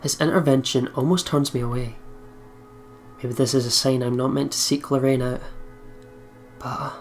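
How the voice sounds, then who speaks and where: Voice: mid-range voice, fairly even intonation, moderate pace, faint music behind. A let's play host reading game narration aloud dramatically, with casual, sweary commentary.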